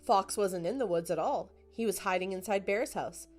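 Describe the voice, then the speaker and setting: A woman's voice: mid-range voice, normal speed, a little mellow, soft background music. A woman narrating a children's picture book as a scripted storytime read-aloud.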